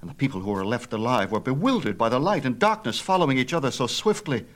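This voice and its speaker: A man narrating a myth as an audiobook storyteller, with a theatrical, measured and emphatic delivery. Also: mid-range voice, very animated.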